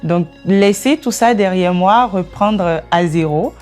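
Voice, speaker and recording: A woman's voice: fairly low pitch, takes it slowly, a little mellow, soft background music. A woman from Benin with a Beninese accent, giving personal testimony in an interview. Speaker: documentary interviewee, business analyst.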